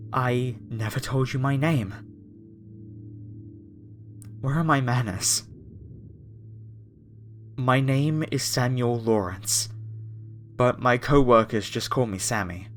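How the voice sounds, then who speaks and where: A man's voice: mid-range voice, takes it slowly, pauses often, natural voice. A man playing a polite, apologetic masked cultist, a former music director, in a horror ASMR roleplay addressed to the listener. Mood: genteel, wistful.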